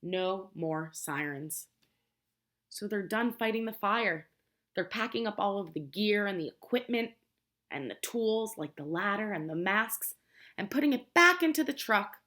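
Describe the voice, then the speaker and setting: A woman teacher reading a picture book aloud to young children, explaining simply and prompting them with questions in an expressive way. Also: medium pitch, measured pace. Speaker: preschool teacher.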